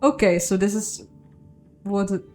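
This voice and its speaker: A woman's voice: mid-range voice, a little slow, dark tone, faint music behind. A woman playing through a story game, reading it out and commenting casually as she goes. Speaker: video game streamer and narrator.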